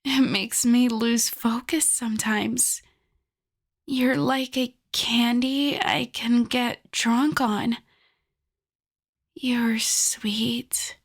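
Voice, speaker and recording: A woman plays a doting, possessive wolf girl in an intimate ASMR roleplay. Her voice is on the high side and very breathy, often sinking into a whisper. She speaks extremely slowly, lingering over endearments with a sweetness that has menace in it. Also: exaggerated voice. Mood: adoring, dreamy.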